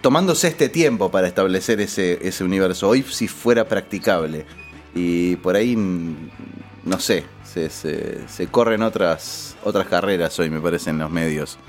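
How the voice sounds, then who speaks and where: A low-pitched man with an Argentine accent hosts a relaxed radio conversation. He thinks out loud and chimes in with agreement, with music behind him. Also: measured pace. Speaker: host. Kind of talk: casual radio interview.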